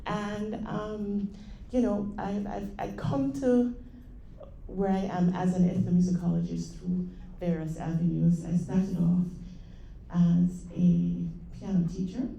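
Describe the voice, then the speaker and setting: A woman's voice: on the low side, flat delivery, takes it slowly, creaky, dark tone, slight background noise. A middle-aged woman from Jamaica with a Jamaican accent, giving a conversational conference panel talk with fillers. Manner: conversational, with fillers and small laughs. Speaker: panel speaker, assistant professor. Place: conference room. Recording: dull roomy hall sound.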